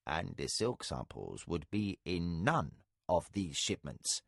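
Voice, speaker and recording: A man voicing a shrewd, soft-spoken spymaster who speaks quietly and gently. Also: sits low, big pitch swings, gravelly, slightly rough, no echo, studio quality, pitched below the performer's own voice, full character voice. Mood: measured. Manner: quiet, measured.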